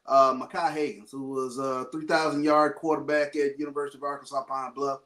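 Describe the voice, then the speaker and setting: A man with a cold giving casual football and basketball updates, measured and full of fillers. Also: mid-range voice, flat delivery, a bit bright. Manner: measured, thinking aloud with fillers. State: has a cold. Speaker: sports reporter. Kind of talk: casual sports report.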